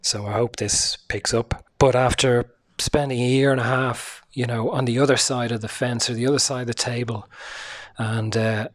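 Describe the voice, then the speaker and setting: A sick man hosting a casual solo podcast, speaking quietly with a hoarse, tired voice, swearing often and using many fillers. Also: mid-range voice, steady tone, normal speed. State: sick, low energy, voice hoarse. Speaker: podcast host.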